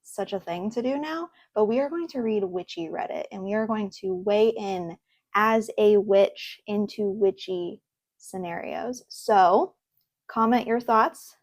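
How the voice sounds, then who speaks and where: A young woman talks to her viewers from her desk at home in a relaxed reaction chat. Her pitch sits in the middle, and she comes across earnest and chatty. She pauses often and fills the gaps with ums. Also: dry recording. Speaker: host. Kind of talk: casual reaction video, reading posts aloud.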